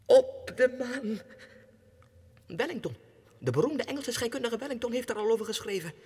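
A madman convinced of his royalty in a 1960s radio play. His voice is fairly high and very husky, lurching erratically between hushed secrecy and agitated outbursts. The pitch swings widely within a long, hollow reverb. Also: full character voice. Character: deranged clerk who believes he is Spanish king. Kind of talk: 1960s radio drama monologue.

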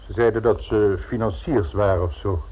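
A man's voice: lowish voice, moderate pace, lightly acted. A man acting in a radio drama as a haughty, self-assured knighted businessman with a dry, pompous, unruffled manner. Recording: dull old radio drama recording, noisy.

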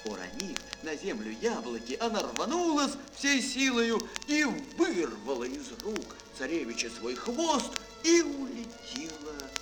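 Male fairy-tale narrator: theatrical, extremely animated melody, unhurried dramatic pauses, music clearly behind. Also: on the high side, poor audio. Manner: expressive, dramatic phrasing with pauses. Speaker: storyteller.

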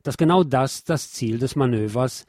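A man's voice: mid-range voice, normal speed, a little mellow, no room sound, studio quality. A man reading scripted radio news and commentary in a wry, sardonic manner. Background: guitar music.

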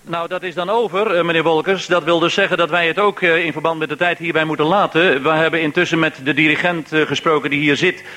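A man's voice: a little high, fairly even intonation, fast talker, hardly any pauses, pushed and bright. A man hosting a live radio show in a formal, polite and slightly hesitant manner. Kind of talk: live hosting before a hall audience.

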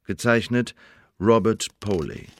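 A dutiful secret service bureaucrat, with a fairly low voice. He reads a written assessment in a measured, pompous, formal radio drama manner. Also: dry recording. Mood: formal, self-important.

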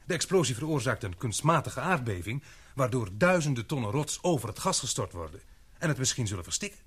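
A man's voice: medium pitch, big pitch swings, fairly quick, husky. A male actor playing an earnest, practical expedition member in a Dutch radio play, explaining things with slight hesitation. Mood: thoughtful.

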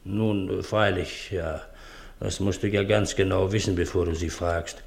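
An actor playing a domineering, shady seance master, commanding and coaxing, in a 1950s German radio play. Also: male voice, low-pitched, steady tone, gravelly. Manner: commanding, slow coaxing turning brusque. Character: domineering, shady man running a child medium's seance.

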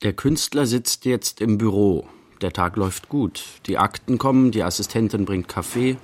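An actor playing the narrator of a literary radio play, telling an artist's day in measured, short phrases. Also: male voice, lowish voice, close to an everyday voice. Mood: measured narrating. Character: narrator recounting an artist's day in present tense.

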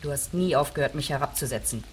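Female, middle-aged actress as icy, contemptuous judge in radio play. Extremely low pitch, restrained, faint storm behind. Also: fast, slight background noise, natural voice. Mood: bitter, scornful. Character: cold, scornful middle-aged female judge, estranged wife. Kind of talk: radio drama acting.

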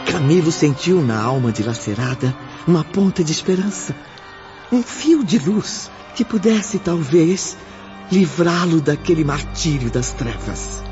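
A man giving measured, solemn scripted narration for a radio drama. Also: a little high, lively intonation, highs cut off, music in the background.

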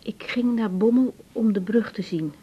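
An actress playing a compassionate, troubled young woman doctor in a radio drama, speaking in a hushed, hesitant, tender manner. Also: moderate pace, slightly rough. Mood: solemn reciting. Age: young adult. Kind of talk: naturalistic 1980s radio drama. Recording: dull, muffled tape with faint rumble.

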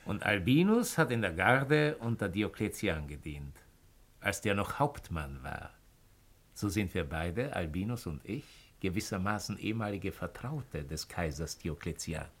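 An actor playing an insistent, courtly former Roman governor in a 1950s radio play, declamatory and emphatic. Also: male voice, sits low, lively intonation, measured pace, a bit bright, heavily acted. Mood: polite, measured.

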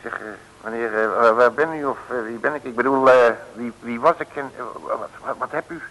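An actor playing a bumbling, folksy, excitable male detective who blusters and stammers in a vintage comic radio serial. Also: medium pitch, a little fast, lightly acted. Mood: confused. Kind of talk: 1960s Dutch comic radio serial acting. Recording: old radio recording, long reverb.

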